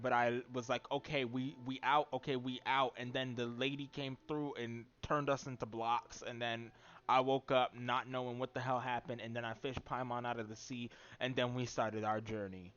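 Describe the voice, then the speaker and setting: A man giving casual, rambling livestream commentary on a game in a halting, loose way. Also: medium pitch, a bit bright, clear, resonant tone. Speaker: game streamer. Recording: muffled mic with background noise.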